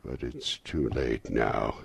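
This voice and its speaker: A man voicing an old miser in a storybook, excitable and exclaiming, caught between laughter and tears with childlike feeling. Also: extremely low pitch, normal speed, vocal fry, husky, exaggerated voice. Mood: regretful. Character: old miser man overcome with childlike ecstasy and tearful remorse.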